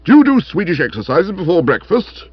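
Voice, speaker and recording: Comic storybook role, played by a man: stern policeman, accusing and peevish, raised animated pitch, slightly muffled audio. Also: a little fast, breathy edge, dry recording, heavily acted. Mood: accusing, hectoring. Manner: hectoring, morose, clipped pronouncements. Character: stern bullying young constable, pompous, peevish and accusing.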